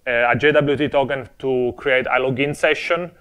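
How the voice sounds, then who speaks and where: A man's voice: mid-range voice, steady tone, moderate pace, a bit bright. A young man from Italy with an Italian accent, giving a friendly, explanatory conference talk on a technical topic with touches of humor. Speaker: conference speaker. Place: conference stage before an audience.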